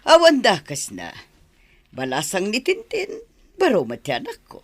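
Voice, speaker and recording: A woman's voice: medium pitch, wildly swooping pitch, unhurried. An actress playing an ailing, meddling elderly village mother in a radio drama, with an Ilocano accent. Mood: chatty, scheming.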